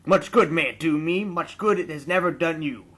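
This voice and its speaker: A man's voice: fairly high pitch, moderate pace, fairly bright tone, husky. A man voicing a grumpy, miserly old uncle in a storybook read-aloud, curt, stern and indignant.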